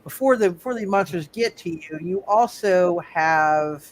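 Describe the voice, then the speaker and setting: A man narrating casually as game master of a live roleplay game, halting and repeating his phrases. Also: high voice, poor audio.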